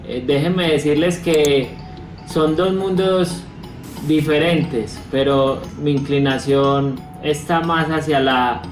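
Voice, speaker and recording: A man from Antioquia with a Colombian accent, giving an earnest testimonial in a measured, formal manner. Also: a little high, steady tone, soft background music. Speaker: viewer testimonial speaker, writer. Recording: roomy, echoing, distant from mic.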